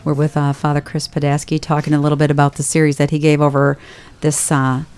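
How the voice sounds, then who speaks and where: A woman's voice: low voice, monotone, quick pace, a little mellow, clear, resonant tone. A woman co-hosting a studio talk show, speaking hesitantly with many fillers. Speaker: co-host. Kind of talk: studio podcast conversation. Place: radio studio.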